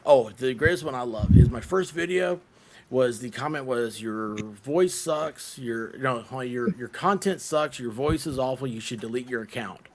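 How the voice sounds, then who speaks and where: A man's voice: mid-range voice, normal speed. A man hosting a casual group chat, speaking hesitantly with many fillers and restarts. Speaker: host. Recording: loud background noise.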